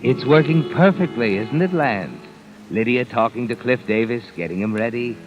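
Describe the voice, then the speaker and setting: A man's voice: medium pitch, animated, moderate pace, natural voice. Man acting as sinister storyteller, swooping dramatic delivery, taunting, muffled vintage radio broadcast, music behind. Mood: sly, knowing. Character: sinister knowing male narrator taunting a schemer. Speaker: narrator. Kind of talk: golden age radio mystery narration, second person.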